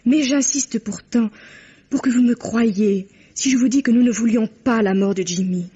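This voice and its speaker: Husky, guilt-laden young woman. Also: fairly high pitch, normal speed, warm tone. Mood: anguished confession. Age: young adult. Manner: earnest, dramatic confessional delivery. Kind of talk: old French radio mystery acting. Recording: muffled old radio drama recording.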